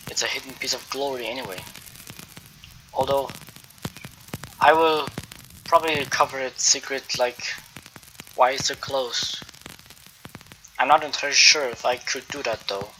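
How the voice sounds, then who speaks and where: A man's voice: medium pitch, pushed and bright, slight background noise, lightly acted, rough recording. A man playing an unsure, curious townsman giving a hesitant, rambling opinion in an amateur radio drama.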